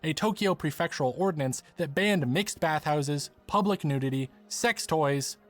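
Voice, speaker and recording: A man with a slightly high voice narrates in the manner of a video essay. He reads from a script, laying out information as a documentary narrator would. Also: moderate pace, faint music behind.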